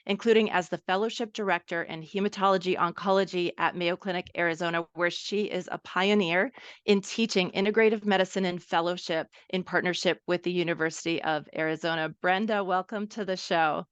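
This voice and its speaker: A woman hosting a podcast, reading a prepared introduction and asking measured interview questions. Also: on the low side, steady tone, clear, resonant tone, dry recording, highs cut off. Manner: measured, reads prepared remarks. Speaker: podcast host.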